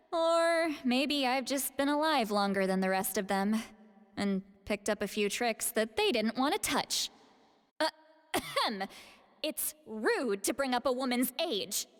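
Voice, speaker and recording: A woman's voice: a little high, big pitch swings, takes it slowly, long reverb tail. An actress playing a proud, powerful elderly shaman granny in a video game, haughty and world-weary. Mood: smug, amused. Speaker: game character. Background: music.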